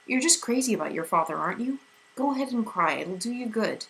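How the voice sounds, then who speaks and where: A gentle, steady teenage boy, read by a woman, soft-spoken and calm, a little above her narrating pitch. Kind and reassuring, in a storybook reading. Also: a little fast, gravelly, low-quality recording.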